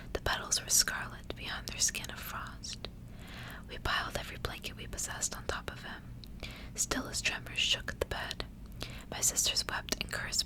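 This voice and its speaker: A woman softly whispering in an ASMR style, reading aloud in a gentle, measured way. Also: low-quality recording. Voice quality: soft unvoiced whisper.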